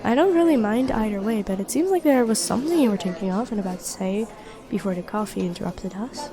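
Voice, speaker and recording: A woman playing a sweet, supportive young best friend with a secret crush, speaking gently and earnestly in an intimate audio roleplay. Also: mid-range voice, expressive, quick pace, natural voice. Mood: playful, encouraging. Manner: gentle, earnest, encouraging, conversational with soft hesitations. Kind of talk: intimate roleplay audio acting, one-sided dialogue. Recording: cafe chatter behind the voice. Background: coffee shop crowd ambience.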